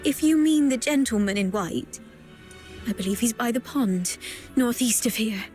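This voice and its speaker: A woman playing a gentle, wistful young florist in a video game, speaking politely and hesitantly. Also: on the high side, expressive, normal speed, soft background music, close to an everyday voice. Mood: tearful, polite. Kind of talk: soft dramatic video game voice acting.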